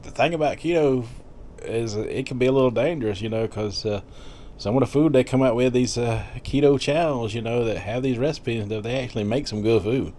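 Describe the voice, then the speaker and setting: A man's voice: mid-range voice, fairly quick, dark tone, slight background noise. A man in his fifties chatting casually in a rambling vlog, full of fillers and little laughs. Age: 50s. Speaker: vlogger.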